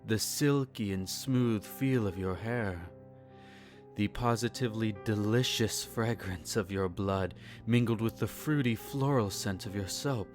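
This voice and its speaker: A man's voice: lowish voice, unhurried, faint music behind, close to an everyday voice. A man playing a courtly, possessive vampire lord in an intimate roleplay audio, teasing and formal, savouring his phrases. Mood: seductive, admiring. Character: possessive, courtly male vampire lord doting on captive pet. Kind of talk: intimate roleplay audio acting, partly whispered.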